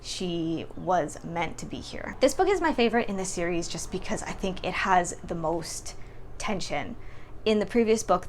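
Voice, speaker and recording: A young adult woman giving a casual, chatty book review as a vlogger talking to her audience. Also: on the low side. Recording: clearly audible background noise.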